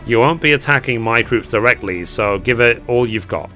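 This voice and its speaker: A man with a hoarse voice reading the lines of a gruff male military commander from a game. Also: medium pitch, normal speed, bright, forceful tone, soft background music, natural voice. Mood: brisk.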